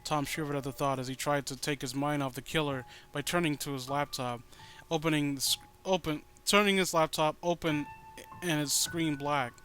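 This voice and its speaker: A man's voice: on the high side, steady tone, normal speed, fairly bright tone, faint music behind. A man reading a story aloud with exasperated, incredulous, rambling and sweary commentary. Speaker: host reading and critiquing amateur horror fan fiction.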